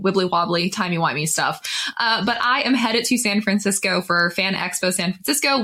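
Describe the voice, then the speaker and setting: A woman's voice: medium pitch, a bit bright. A woman co-hosting an entertainment show in a casual, conversational way, upbeat and enthusiastic. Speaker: co-host of an entertainment news show.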